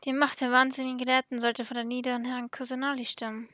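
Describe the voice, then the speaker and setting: A woman's voice: high voice, little pitch movement, rapid-fire, bright, forceful tone, no room sound. A woman doing casual game playthrough commentary, reading out dialogue choices into a close microphone.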